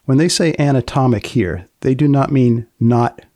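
A man hosting a podcast interview in a deadpan, probing way, asking questions conversationally. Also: mid-range voice, moderate pace, no echo, studio quality. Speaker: podcast host.

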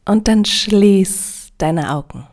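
A woman leading a guided yoga nidra relaxation in a calm, soothing, measured way with long pauses. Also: medium pitch.

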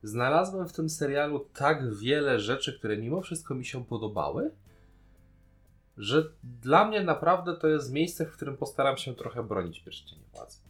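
A man's voice: mid-range voice, sing-song, normal speed, a bit bright, soft background music. A man co-hosting a casual podcast discussion, talking in an informal, blunt way. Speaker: podcast co-host.

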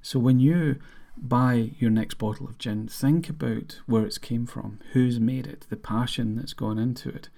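A middle-aged man with a Scottish accent, hosting a podcast monologue in an earnest, measured way. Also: mid-range voice, fairly even intonation, creaky, mellow. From Scotland. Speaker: podcast host.

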